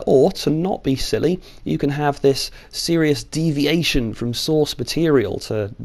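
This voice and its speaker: A British man presents scripted opinion commentary as a video host. His delivery is informal and sardonic, opinionated and blunt, in a mid-pitched voice. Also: vocal fry, a little mellow.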